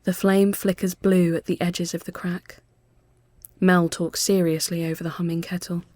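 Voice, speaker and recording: A woman giving a literary first-person narration, measured, hushed and sombre. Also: fairly low pitch, level tone, no echo. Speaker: storyteller.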